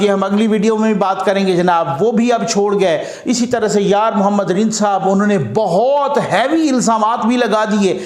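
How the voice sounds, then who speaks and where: A man with a Pakistani accent giving emphatic, conversational political commentary as a journalist, repeating phrases to drive points home. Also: sits high. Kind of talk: political commentary straight to audience. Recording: echoey, roomy sound.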